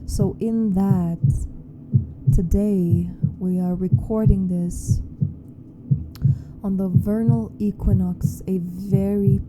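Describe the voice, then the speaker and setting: A woman leading a guided meditation in a hushed, deliberate manner with long pauses. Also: fairly even intonation, poor audio. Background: meditation music with a low hum.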